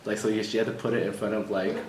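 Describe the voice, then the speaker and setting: A young man from the United States chats in a relaxed way, leaning on fillers. His voice is on the low side. He is recorded in an echoey room with steady noise in the background. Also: very fast. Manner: relaxed, loose, with many fillers. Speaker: interviewee in a couple's conversation. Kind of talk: casual personal chat.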